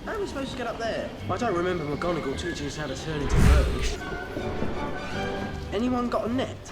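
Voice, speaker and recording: A man's voice: sits high, sing-song, fairly quick. A wisecracking teenage schoolboy in a fantasy game. He talks informally, with grumbles and exclamations that rise and fall widely. Prominent music plays behind him. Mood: grumbling, sardonic. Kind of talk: video game character acting.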